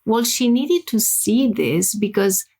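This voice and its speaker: A woman from Romania speaks with a Romanian accent and a gravelly edge to her voice. She explains her practice at length as an interview guest, checking in with "right?" after each point. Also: a little high, lively intonation, slow, dark tone.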